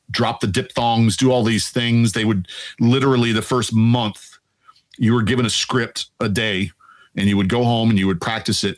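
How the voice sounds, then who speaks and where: A man in his fifties with a deep, resonant voice, a veteran guest telling stories in a relaxed, chatty way. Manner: relaxed, chatty, quotes himself and laughs easily. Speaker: Air Force veteran guest. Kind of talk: casual storytelling interview.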